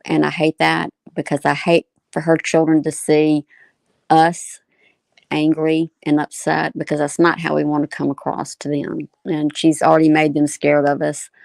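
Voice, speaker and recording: A woman talks casually and earnestly on a livestream. Her voice sits a little low and stays level, with noticeable fry, and it is recorded dry. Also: monotone, moderate pace, a little mellow, no room sound. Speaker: livestream co-host.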